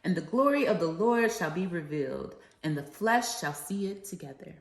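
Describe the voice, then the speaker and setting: A woman's voice: on the low side, animated, normal speed. A woman reading aloud and explaining for children, as an educator leading a read-along. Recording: echoey, reverberant room sound.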